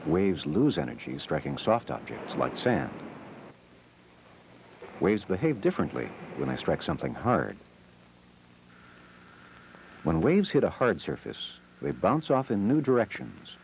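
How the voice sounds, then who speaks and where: A man narrating an educational film in a measured, explanatory manner, reading scripted short, simple sentences. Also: deep voice, wildly swooping pitch, vocal fry, slight background noise, low-quality recording. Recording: old VHS educational film soundtrack. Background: music.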